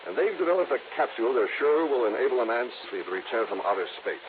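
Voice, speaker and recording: An actor playing an earnest, businesslike insurance executive, explaining emphatically in a 1950s radio drama. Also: male voice, mid-range voice, very animated, rapid-fire, lightly acted. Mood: earnest, urgent. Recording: muffled noisy 1950s radio recording.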